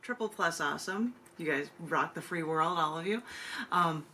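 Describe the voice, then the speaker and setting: A woman's voice: sits low, normal speed. A woman hosting an open mic and poetry reading over a video call, chatting casually with rambling asides. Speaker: open mic host and poet. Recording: noisy video call audio.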